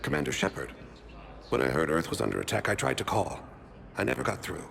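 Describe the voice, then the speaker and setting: An actor voicing a gravely ill alien man in a video game, serene and courteous, with formal, measured phrasing and a deep gravelly voice. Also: big pitch swings, fairly bright tone, full character voice. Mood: calm, reflective. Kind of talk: video game cutscene dialogue.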